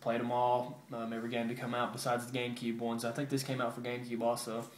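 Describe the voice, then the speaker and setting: A man talks casually to his viewers in a roomy, echoing space with faint noise behind him. His delivery is laid-back and rambling, with a halting flow and a mid-range pitch, like a collector showing off his shelf at home. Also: completely flat delivery. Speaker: video game collector vlogger. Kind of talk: casual show-and-tell update to viewers. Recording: roomy echo with background hiss.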